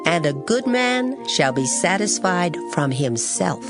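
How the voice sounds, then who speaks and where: Female scripture reader, slightly low voice, solemn measured dramatic delivery over a music bed. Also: big pitch swings. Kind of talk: dramatized Bible reading.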